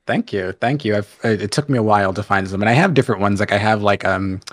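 A young man with a soft, soothing voice, chatting easily as a podcast guest. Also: fairly low pitch, quick pace. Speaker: podcast guest, game streamer. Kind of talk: casual podcast chat.

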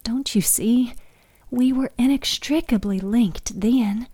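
A woman voicing a sad older mother in an audio drama, gentle, knowing and resigned. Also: a little high, slow, slightly rough, no echo.

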